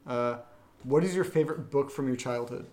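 A man's voice: mid-range voice, brisk, a little mellow. Male podcast host in an echoey room. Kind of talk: casual chat, reading listener questions.

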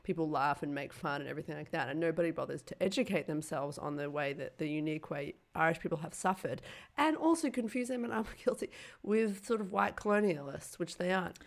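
A woman's voice: sits low, expressive, brisk, creaky, few pauses. A woman co-hosting a podcast, thoughtful and questioning in casual chat.

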